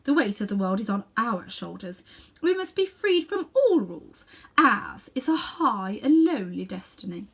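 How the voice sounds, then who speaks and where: A woman's voice: mid-range voice, very animated, moderate pace, breathy edge. A woman reading a storybook as an imperious, pompous evil witch queen who commands with grand contempt.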